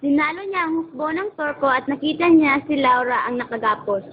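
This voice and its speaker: A woman narrates a radio drama from a script. The recording is dull, with clear noise around her. Her voice is fairly high. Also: fairly quick.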